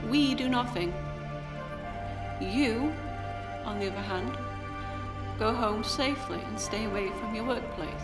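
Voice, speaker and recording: An actress playing an enigmatic, brisk female time traveller with formal, commanding phrasing in an audio drama. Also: a little high, over loud music, close to an everyday voice. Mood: brisk, aloof.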